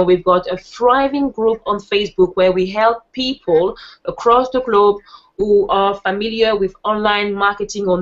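A woman with a French accent giving a casual online presentation, chatty with lots of fillers and restarts. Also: mid-range voice. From France. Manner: chatty, laughs easily, many fillers and restarts. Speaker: webinar presenter. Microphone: video call. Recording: muffled online call audio.

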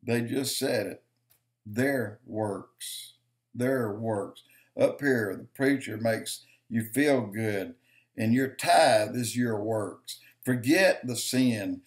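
Older man, unhurried, emphatic, repeats for stress, webcam in a home office. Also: mid-range voice. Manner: slow, emphatic, lists points and repeats for stress. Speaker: video commentator. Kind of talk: preaching-style commentary to camera.

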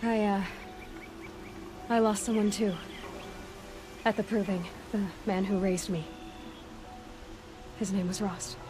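An actress playing a determined, guarded young huntress in a video game, speaking directly and insistently. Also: female voice, mid-range voice, steady tone, slow, long pauses, over music, lightly acted. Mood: sympathetic. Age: young adult. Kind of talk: video game cutscene acting.

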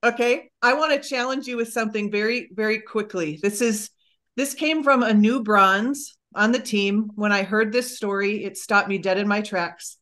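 A woman in her forties, a guest speaker, telling an excited, breathless story on a video call from home. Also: mid-range voice, normal speed. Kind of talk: motivational talk on a video call. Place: home room with bookshelves. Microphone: webcam on a video call.

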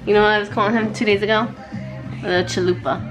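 A young woman talks playfully into a handheld camera in a home living room, teasing and dropping in Spanish words. Her voice sits at an everyday middle pitch, with music playing underneath. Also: steady tone, quick pace, dull audio. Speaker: vlogger. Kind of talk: casual family chat to camera. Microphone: handheld camera close to face.

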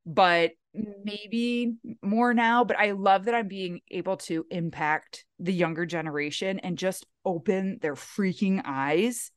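A woman's voice: mid-range voice, no echo, clean studio sound. A woman in her forties hosting a fitness podcast, talking in an upbeat, enthusiastic way. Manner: upbeat, enthusiastic, fast bursts. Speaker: podcast host, fitness coach. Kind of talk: casual podcast chat.

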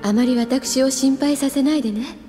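A woman's voice: high-pitched, moderate pace. A woman playing an elegant, composed big-sister schoolgirl in an anime audio drama, heard through reverb as in a memory. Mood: composed, fond. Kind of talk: Japanese anime audio drama acting, remembered dialogue. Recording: long reverb over music.

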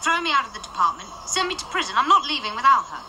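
A woman's voice: high voice, sing-song, racing, bright, forceful tone, slight background noise, heavily acted. An actress playing a stubborn young female witch in a radio drama, cool and menacing. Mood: defiant.

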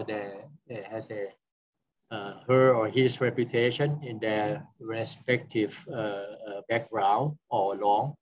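Halting older man, low-toned, chairing a session. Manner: halting, searching for words. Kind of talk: conference panel introduction. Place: small office, indoors. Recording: dull lo-fi video-call audio.

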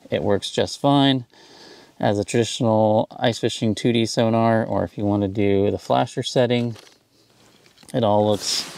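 A man in his thirties on a frozen lake outdoors, explaining fishing electronics in a relaxed, casual demonstration. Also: mid-range voice. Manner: explanatory, relaxed, upbeat when catching fish. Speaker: fishing channel host.

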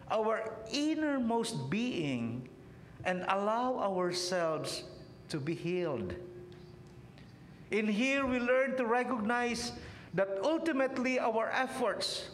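A man in his thirties delivers a sermon from a church pulpit in a high, unhurried voice, dropping low at the end of each phrase. The stand mic picks up a big, roomy church reverb. A faint rumble sits underneath. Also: a bit bright. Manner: slow, measured preaching with phrases falling away at the ends. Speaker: priest. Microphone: pulpit microphone on a stand.

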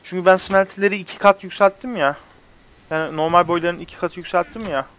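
A young man hosts a casual gaming session. His voice sits a little high for a man. He chats with friends as he explains what he is doing in the game. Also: fast talker, a bit bright. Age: young adult. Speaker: host of a gaming video channel. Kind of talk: casual let's-play commentary with friends. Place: indoors at a computer, webcam shown.

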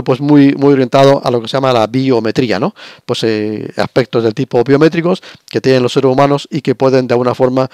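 Older guy from teaching a class, bit hoarse from a cold, lots of "eh" and "pues", dead dry close mic. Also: medium pitch, a little mellow, dry recording. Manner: explanatory, repetitive, many fillers and restarts. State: has a cold, slightly hoarse. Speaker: university lecturer. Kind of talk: recorded lecture over slides.

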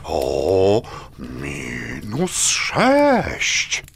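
Casting: kindly fussy old Father Christmas, hugely swooping intonation, unhurried, children's radio play, faint noise. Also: sits low, wildly swooping pitch, gravelly, exaggerated voice. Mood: welcoming, fussing. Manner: fatherly, theatrical. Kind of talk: children's audio drama acting.

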